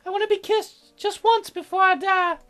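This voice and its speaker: A man's voice: very high voice, steady tone, moderate pace, a bit bright, soft background music, voice pushed up. A man voicing a teasing, wistful, stubborn young sick girl in a visual novel, with a hesitant, pleading way of talking. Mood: frank, wistful.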